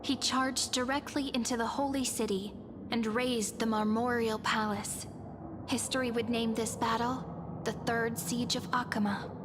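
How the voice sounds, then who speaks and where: Woman as high-voiced earnest holy maiden. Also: fairly even intonation, a little slow, background noise, lightly acted. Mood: solemn narration. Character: earnest young holy maiden, solemn and devoted. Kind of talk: scripted video game acting and narration.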